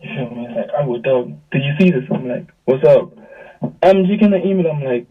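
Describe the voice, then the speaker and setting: A young man with a South African accent tells stories in loose, slangy talk. His voice is husky, and it comes through thin and phone-like, a bit far from the mic, as on a video call. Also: mid-range voice, a little fast. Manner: slangy, animated retelling with quoted dialogue. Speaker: music artist, interview guest. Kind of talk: casual storytelling chat.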